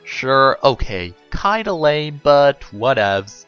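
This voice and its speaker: Low-key, laid-back storybook girl done by a man. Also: very animated, slow pace, clean tone, dull audio, soft background music. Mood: indifferent.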